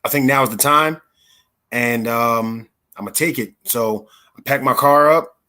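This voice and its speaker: A man's voice: medium pitch, unhurried, pushed and bright. American man in his thirties, mid-range voice. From Philadelphia, United States. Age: 30s. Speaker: interview guest, performer. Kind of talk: conversational personal storytelling.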